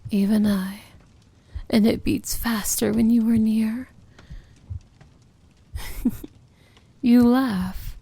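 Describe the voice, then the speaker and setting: A woman playing a powerful, possessive princess of evil in a romantic fantasy ASMR roleplay, breathy and often whispering, commanding yet tender. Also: fairly high pitch, steady tone, drawn-out words, pauses often, mellow, exaggerated voice. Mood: soft, tender.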